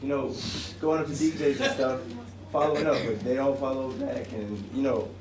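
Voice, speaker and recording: A man's voice: mid-range voice, steady tone, normal speed. A young man from Atlanta, his voice worn from days of singing, rambles through a question at a campus panel. He is picked up from a distance in an echoey hall, with noise all around. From Atlanta, Georgia. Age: 20s. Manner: rambling, chatty. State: losing his voice. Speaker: student asking a question. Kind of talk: audience question. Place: university event hall. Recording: roomy hall, mic heard from a distance.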